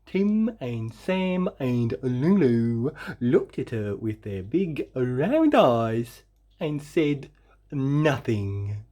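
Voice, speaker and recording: Female storybook reader, expressive narration, unhurried pace, animated intonation, dramatic drawn-out emphasis. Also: a bass voice. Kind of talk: expressive children's read-aloud narration.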